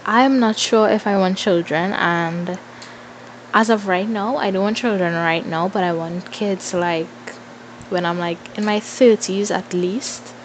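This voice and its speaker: A woman's voice: medium pitch, measured pace, a little hiss or hum, low-quality recording. A woman in her twenties with a Trinidadian accent chats informally and playfully to followers in a casual Q&A, thinking aloud as she goes. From Trinidad and Tobago. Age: 20s. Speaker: vlogger.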